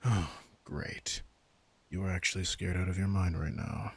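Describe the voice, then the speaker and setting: A man playing an arrogant, teasing, centuries-old vampire king in an intimate audio roleplay, casual and sardonic, with a low, gravelly voice. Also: fairly even intonation, normal speed. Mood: impatient, teasing. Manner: casual, sardonic, swearing, coaxing his prey.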